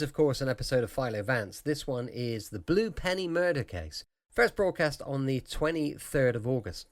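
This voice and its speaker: A British man giving a chatty, informal introduction as a podcast host. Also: mid-range voice. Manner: chatty, informal, swinging delivery.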